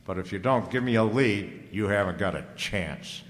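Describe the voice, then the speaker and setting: A man's voice: on the low side, normal speed, in a large hall, close to an everyday voice. A man acting a hard-boiled private detective in an old-time radio drama, wry, slangy and unflappable. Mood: firm warning.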